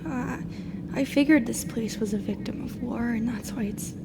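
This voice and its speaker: A woman voicing a lonely, confused, gentle drider in a roleplay ASMR monologue, speaking softly and haltingly, often in a breathy whisper. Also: fairly high pitch. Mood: subdued, hesitant. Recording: clearly loud background noise.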